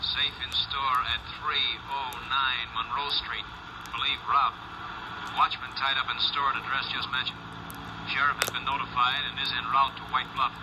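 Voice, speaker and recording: Guy doing a police dispatcher, totally monotone, official and clipped, noisy old radio recording. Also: medium pitch, normal speed, close to an everyday voice. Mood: impersonal, official. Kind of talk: radio crime drama acting.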